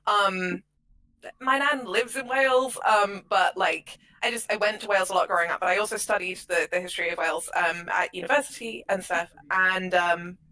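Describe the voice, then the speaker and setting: Woman chatting, earnest and explainy, really bright punchy voice, normal pitch. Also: normal speed, low-quality recording. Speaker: audio drama creator. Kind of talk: casual discussion.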